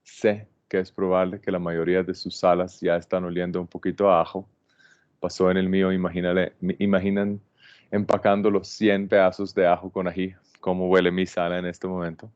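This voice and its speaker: A man with an Israeli accent and a very deep, low voice opens an online plant workshop. He speaks calmly and haltingly, with many fillers and restarts. The sound is dry and a bit muffled, like a computer mic on a video call. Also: smooth, dry recording. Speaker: landscape designer teaching a plant workshop.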